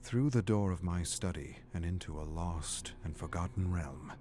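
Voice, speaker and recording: An actor playing an erudite, morbid prisoner narrating his journal in a video game, formal and measured. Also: low-pitched, warm tone, faint music behind, natural voice. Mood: pensive. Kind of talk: scripted dramatic game narration.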